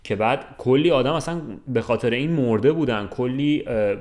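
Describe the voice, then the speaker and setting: A man's voice: medium pitch, darkish tone. A man in his twenties talking casually and colloquially, explaining his view and checking that the listener follows. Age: 20s. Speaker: podcast co-host. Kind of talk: casual chat. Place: room with large window, indoors. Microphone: desk microphone.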